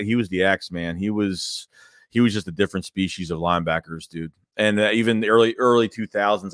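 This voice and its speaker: Bright-voiced casual sports fan host. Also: male voice, lowish voice, dry recording, clean studio sound. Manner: loose, rambling, lots of "man" and "dude". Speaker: fan channel host reading live chat. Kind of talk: casual livestream chat.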